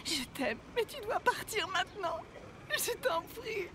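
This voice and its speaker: A woman's voice: extremely high pitch, expressive, measured pace, noisy background. A woman playing an anguished young bride in a French film dub, pleading and emotional. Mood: tearful, pleading. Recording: music behind the voice.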